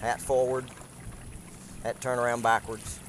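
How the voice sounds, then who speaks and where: A man's voice: medium pitch, little pitch movement, measured pace. A middle-aged man talking casually while sailing, with wry, offhand remarks. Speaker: sailor filming himself. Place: outdoors on a small sailboat on a lake. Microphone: onboard action camera. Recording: heavy wind and water noise outdoors. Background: wind, water and boat noise.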